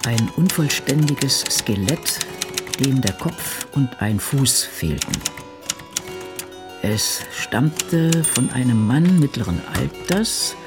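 Man as an ageing woman novelist, animated and brooding. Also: medium pitch. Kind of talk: literary radio drama monologue, reading diaries and drafts.

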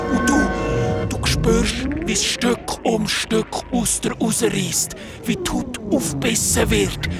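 Possessed young woman, creepy chant, really slow, pitch swooping, loud music all over it. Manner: incantatory, short broken phrases. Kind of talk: horror audio drama acting.

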